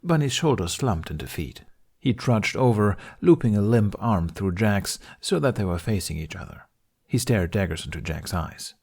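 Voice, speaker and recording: A man's voice: fairly low pitch, animated, normal speed, vocal fry, darkish tone, breathy edge. A man reading a scripted story aloud as its narrator. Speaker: fan fiction podcast narrator.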